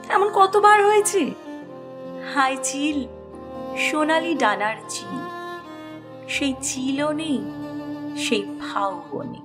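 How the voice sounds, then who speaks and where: A woman reads a story in a high voice, with expressive scripted narration. Her pitch moves in lively swings as she tells it. Music plays underneath.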